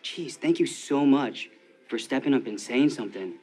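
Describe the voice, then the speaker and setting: A man's voice: medium pitch, lively intonation, moderate pace, a little mellow, soft background music, lightly acted. A man acting a friendly, agreeable, flirtatious restaurant worker in a sitcom. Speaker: actor in a TV sitcom.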